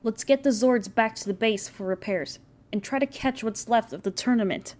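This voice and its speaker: Higher-pitched, blunt young heroine played by a woman. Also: moderate pace, darkish tone, natural voice. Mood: decisive. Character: blunt, take-charge young female team leader. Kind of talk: audio story character acting.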